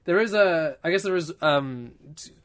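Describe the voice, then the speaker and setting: A man's voice: fairly high pitch, expressive, normal speed, a bit bright. A man hosting a casual podcast, rambling and joking and often restarting his sentences. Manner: rambling, self-interrupting, joking.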